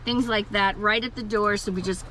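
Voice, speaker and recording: A woman's voice: medium pitch, moderate pace, slight background noise. An older woman chatting casually as she shows off the inside of her small camper trailer, filmed up close. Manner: chatty, relaxed, gesturing as she shows things. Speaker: vlog host.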